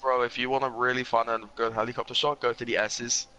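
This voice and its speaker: A man's voice: medium pitch, flat delivery, quick pace, sharp tone, smooth, dull audio. A man co-commentating a live sim race, chatty and teasing, often laughing.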